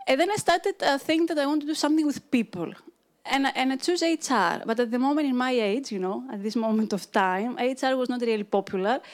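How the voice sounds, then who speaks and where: A woman's voice: high-pitched, a little fast, few pauses. A woman from Greece with a Greek accent tells her own career story informally as a panel speaker.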